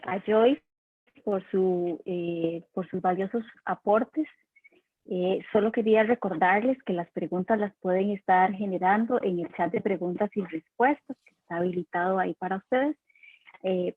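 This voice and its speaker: A woman's voice: mid-range voice, breathy edge, no echo. A woman moderating an online webinar, formally introducing the next speaker, with frequent fillers. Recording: tinny, phone-like audio.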